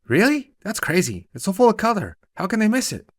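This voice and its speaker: A man's voice: high-pitched, wildly swooping pitch, brisk, no echo, clean studio sound, voice pushed up, full character voice. A man voicing a cheerful, polite and chatty little girl for a short story, bubbly and earnest. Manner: bubbly, earnest, quotes mama's sayings.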